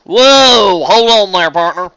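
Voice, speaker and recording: A man's voice: high voice, animated, slow pace, creaky, bright, forceful tone, clear, resonant tone, dry recording, voice pushed up, exaggerated voice, low-quality recording. A man voicing a plain-spoken, hearty young female farm pony in a story, with a folksy Southern US twang and drawl. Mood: baffled.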